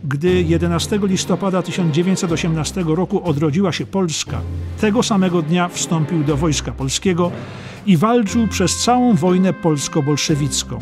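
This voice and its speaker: High-pitched man narrating over music. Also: moderate pace. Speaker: audiobook narrator. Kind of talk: scripted documentary history narration.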